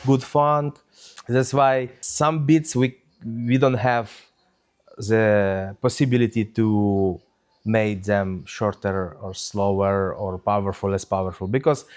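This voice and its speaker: A man's voice: fairly low pitch, darkish tone. A man from Ukraine speaking English, answering interview questions with many hesitations and fillers. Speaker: DJ guest.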